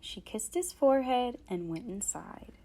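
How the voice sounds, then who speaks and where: A woman storyteller with a fairly high, very lively voice. She takes her time and shapes each phrase expressively, as if addressing young children during a picture book read-aloud. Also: a little slow. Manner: animated, dramatic phrasing, clear enunciation for children.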